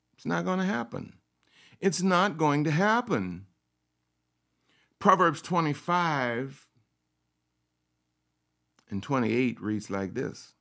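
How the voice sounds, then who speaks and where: A man preaching, slow and deliberate, taking long pauses between his phrases. He repeats lines for emphasis and throws out rhetorical questions. His voice sits in a middle pitch. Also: gravelly. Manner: speaks in the first person of a confident woman to make his point. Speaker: pastor. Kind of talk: sermon.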